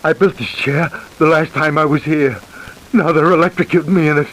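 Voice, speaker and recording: An actor playing a bitter condemned convict near breaking point in an old-time radio crime drama. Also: male voice, a little high, steady tone, a little fast, fairly bright tone, natural voice, rough recording. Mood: bitter, unravelling. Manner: emphatic, repeating, bitter. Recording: hissy old radio recording.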